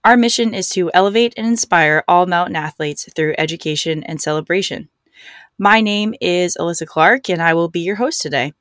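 A woman's voice: fairly low pitch, brisk, a bit bright, no echo, studio quality. A woman hosting a podcast, giving a prepared introduction and putting questions to guests. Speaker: podcast host.

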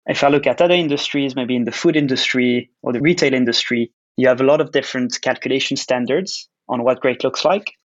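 A man from Switzerland, a company founder giving measured, explanatory answers in a podcast interview. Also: medium pitch, muffled recording. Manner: measured, explanatory, with frequent "uh" pauses. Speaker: guest, startup founder and CEO.

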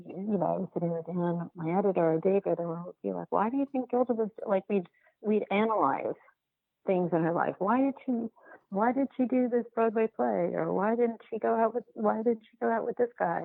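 A woman speaks over a narrow, phone-like line in a lowish voice with a gravelly fry. She talks casually as an interviewed filmmaker, circling back and rewording as she thinks out loud. The sound is close and dry. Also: no room sound. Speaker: documentary filmmaker being interviewed.

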